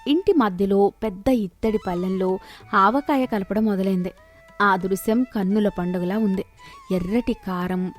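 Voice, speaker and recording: A woman's voice: mid-range voice, darkish tone. A woman storyteller reading a scripted moral tale.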